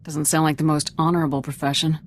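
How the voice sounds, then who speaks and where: An actress playing a composed, confident young squad commander in a video game, speaking in a measured, formal, self-assured way. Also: female voice, deep voice, flat delivery, a little hiss or hum, close to an everyday voice. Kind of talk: video game dialogue acting. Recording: clear background music under the voice.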